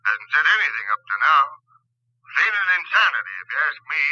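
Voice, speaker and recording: An actor playing a plain-talking, obliging police inspector over a telephone in a 1940s radio drama, folksy and matter-of-fact. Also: male voice, a little high, very animated, brisk, sharp tone, husky, no echo, lo-fi sound, exaggerated voice.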